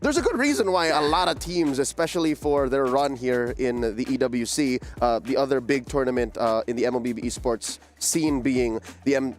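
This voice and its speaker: A man's voice: mid-range voice, normal speed, hardly any pauses. A man hosting an esports panel, casual and chatty, with teasing asides. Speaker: esports desk host.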